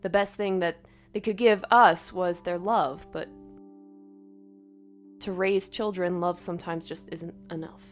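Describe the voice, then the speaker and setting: Low-pitched woman narrating a story over music. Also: fairly even intonation, a little slow, long pauses. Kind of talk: scripted first-person horror narration.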